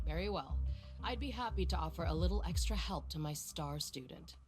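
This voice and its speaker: A woman's voice: on the low side, expressive, normal speed, over music, close to an everyday voice. An actress playing a middle-aged woman research director in a video game, silky, teasing and patronizing, with a manipulative streak. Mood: coaxing, condescending.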